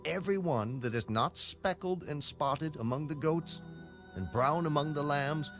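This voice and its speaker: Earnest biblical shepherd Jacob, music behind. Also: male voice, a little high, unhurried. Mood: earnest, solemn. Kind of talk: dramatized audio Bible. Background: background music.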